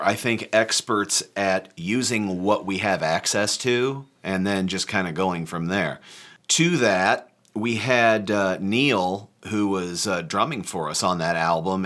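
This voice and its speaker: A man from a band who jokes around and tells the story of arranging a song in an animated, playful way. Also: sits low. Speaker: band member discussing a song. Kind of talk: casual conversational chat.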